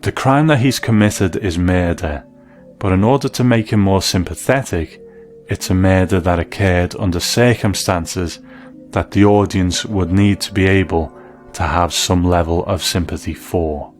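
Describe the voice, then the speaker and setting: A man with a very low voice presents as a documentary host, moving between conversation and explanatory narration. Music plays faintly behind him. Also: unhurried. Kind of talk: interview chat and explanatory narration.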